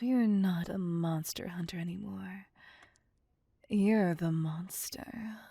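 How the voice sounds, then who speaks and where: A woman voicing a possessive, vain vampire mistress in an ASMR roleplay, breathy and often whispering, with condescending endearments. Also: on the low side, warm tone, no echo, studio quality, heavily acted. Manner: slow, deliberate, condescending endearments. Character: possessive, vain female vampire, commanding and seductive. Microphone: close, dry microphone.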